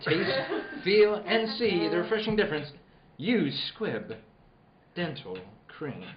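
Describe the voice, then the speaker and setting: A man performs a polished vintage radio announcer, selling every phrase with swooping emphasis. His voice sits slightly high, and the recording is roomy and a little dull. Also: very animated, slow, crowd noise, heavily acted. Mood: salesman-like. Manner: grandly emphatic, salesman-like. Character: polished, upbeat old-time radio announcer and pitchman. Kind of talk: 1940s radio announcing, scripted ad read.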